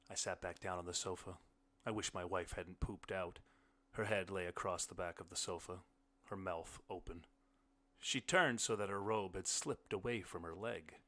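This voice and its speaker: A man's voice: sits low, fairly bright tone. A man reading a short story aloud as scripted first-person audiobook narration.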